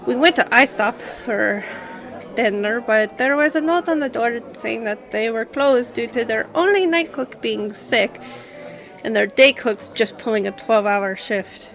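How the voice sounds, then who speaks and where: Girl playing a lady lawyer opening up over drinks, real slow w pauses, bit high pitched, audio drama. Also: a bit bright, soft background music. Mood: fond, nostalgic. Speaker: voice actor in audio roleplay. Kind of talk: scripted conversational audio roleplay.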